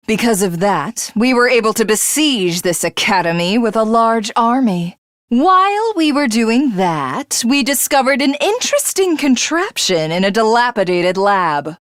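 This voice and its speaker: A woman voicing an arrogant, sadistic alien warrior craving godhood in a video game, with theatrical, drawn-out taunts and a breathy, husky edge. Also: mid-range voice, no echo, studio quality. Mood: gloating, taunting. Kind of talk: dramatic video game villain acting.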